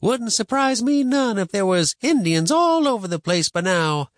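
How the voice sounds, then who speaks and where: A man acts out a cantankerous old grandmother on the frontier in a storybook. The voice is pitched well up and very animated, grumbling and scolding in plain folksy country speech, recorded dry and close. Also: normal speed, no room sound. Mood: wary, emphatic. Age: older. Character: cranky, stubborn old frontier grandmother with a cane.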